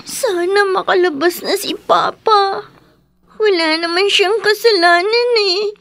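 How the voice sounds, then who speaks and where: A woman's voice: extremely high pitch, a little slow, fairly bright tone, heavily acted. A woman with a Filipino accent playing a tearful young schoolgirl who misses her father in a radio drama. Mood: tearful, pleading.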